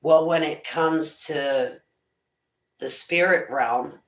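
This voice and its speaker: A woman with a raspy voice hosting a casual livestream, rambling through her plans and her faith. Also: sits low, slow. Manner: rambling, faith-filled musings. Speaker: internet radio host.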